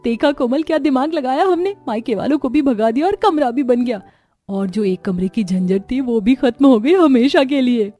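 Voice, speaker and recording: A woman's voice: sits high, lively intonation, normal speed, mellow, soft background music. A woman voicing indignant, bickering women of a family in a Hindi cartoon story, in a dramatic, scolding manner. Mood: smug. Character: bickering, indignant young Indian daughters-in-law and scolding mother-in-law.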